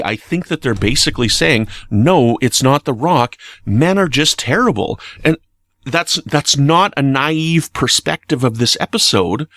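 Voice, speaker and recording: A man giving opinionated, conversational podcast commentary in an earnest, emphatic way, sometimes halting as he reasons aloud. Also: mid-range voice, fairly bright tone, no room sound, clean studio sound. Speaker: podcast commentator reviewing a TV episode.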